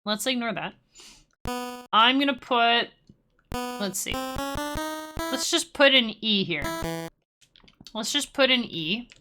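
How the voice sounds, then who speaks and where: A woman's voice: fairly high pitch, animated, slow, pauses often. A young woman casually teaching music-making on a live stream, rambling and self-deprecating with playful asides.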